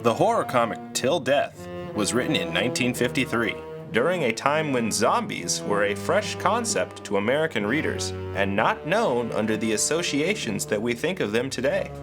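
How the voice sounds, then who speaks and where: Fatherly ghost host, acted by a man, scripted explainer, swooping pitch, music underneath. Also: big pitch swings, normal speed, natural voice. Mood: explanatory. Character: fatherly ghost storyteller explaining horror history. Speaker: host and narrator. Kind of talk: scripted educational narration.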